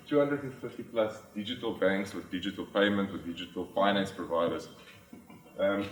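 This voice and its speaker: A South African man with a lowish voice presents to a conference audience, pausing often on ums and restarting phrases as he explains. His first language is Afrikaans. The sound is roomy and distant, picked up from well off the mic in a large hall. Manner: frequent ums and restarts, plain explanatory delivery. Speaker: conference speaker. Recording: echoey hall, from far off the mic.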